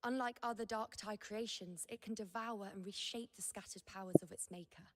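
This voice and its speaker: Actress as a solemn young seer in long reverb. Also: female voice, medium pitch, lightly acted. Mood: grave, resigned. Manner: measured, grave, formal delivery. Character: solemn young woman seer who defies fate, burdened, proud. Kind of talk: scripted dramatic video game dialogue.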